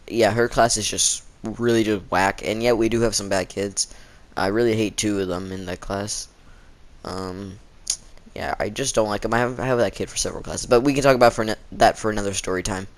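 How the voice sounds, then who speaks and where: A teenage boy telling stories in a casual, rambling, halting way, with slangy wording and a sore throat he often clears. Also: fairly low pitch, steady tone. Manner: halting, informal, slangy, dramatizing quotes. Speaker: video storyteller.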